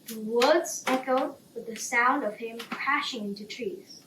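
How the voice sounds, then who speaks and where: Slow, high-voiced woman reading a story. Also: a bit bright. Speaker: storybook reader. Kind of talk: bedtime story narration, scripted. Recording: roomy, distant, faint background noise.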